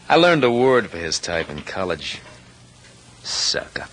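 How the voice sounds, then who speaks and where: A man's voice: deep voice, sing-song, slow, exaggerated voice. A man playing an eager, insistent young college-educated swindler in a radio drama, slick in manner, on an old recording. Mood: gloating, scheming. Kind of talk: 1940s-50s radio drama acting. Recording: noisy vintage radio recording.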